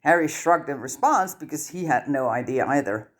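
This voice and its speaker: Low-voiced woman narrating a story. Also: animated, moderate pace. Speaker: audiobook narrator.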